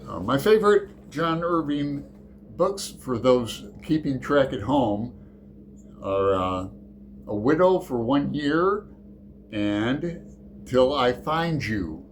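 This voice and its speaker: Rambling, halting man, slow talker. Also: medium pitch, lively intonation, slight background noise. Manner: rambling, halting, full of restarts. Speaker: book channel host. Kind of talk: casual chat.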